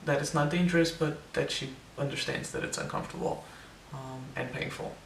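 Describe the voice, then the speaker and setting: A man's voice: fairly high pitch, fairly even intonation, fairly quick, gravelly, roomy sound, far from the mic, noisy background. A young man giving a casual, hesitant personal update, full of ums and restarts, his voice sometimes cracking with air breaking through. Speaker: vlogger.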